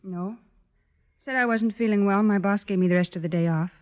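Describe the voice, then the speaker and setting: An actress playing a worried, earnest older sister with an American accent in an old radio drama. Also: female voice, mid-range voice, fairly quick, lightly acted. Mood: guarded, concerned. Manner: earnest, pleading, gently firm. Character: worried, earnest older sister raising her wayward brother. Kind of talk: 1940s-50s American radio drama acting. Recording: dull old radio recording.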